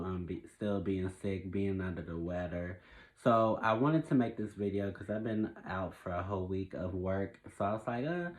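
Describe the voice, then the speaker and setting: Guy with a cold, kinda stuffed up, lower voice, just rambling to his viewers, lots of restarts. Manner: rambling, many restarts, self-correcting; laughs at his own jokes. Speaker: filmmaker vlogger. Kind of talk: casual talking-to-camera vlog.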